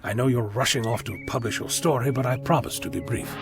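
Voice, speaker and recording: A man acting in an audio drama as an eccentric, self-assured time traveller, wordy, breezy and gently lecturing. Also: mid-range voice, quick pace, music in the background, lightly acted. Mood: measured, curious.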